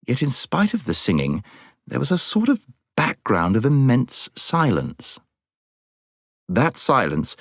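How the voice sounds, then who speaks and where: A man narrating a storybook as an audiobook reader, with dramatic, measured phrasing. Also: lowish voice, no room sound, clean studio sound.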